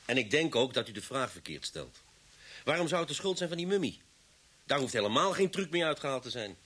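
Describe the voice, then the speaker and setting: An actor in a Dutch radio drama playing a sardonic, sceptical police inspector with a dry, reasoning manner. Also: male voice, medium pitch, big pitch swings, brisk, fairly bright tone, husky.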